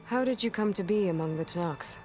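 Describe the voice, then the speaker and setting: A plain-spoken young heroine played by a woman with a medium-pitched voice. She is direct and earnest yet restrained, a determined game warrior heard over clear background music. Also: brisk, darkish tone, lightly acted. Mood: guarded. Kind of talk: video game cutscene acting. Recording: music behind the voice.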